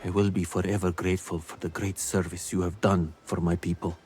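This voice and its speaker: A man voicing a wise ancient physician spirit, speaking in a solemn, grave and measured way in game dialogue. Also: low-pitched, level tone, darkish tone, soft background music, close to an everyday voice.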